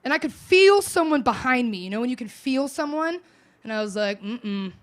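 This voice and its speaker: An American woman performing stand-up with crowd work, brash, loud and self-mocking. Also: fairly high pitch, animated, moderate pace. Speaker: stand-up comedian.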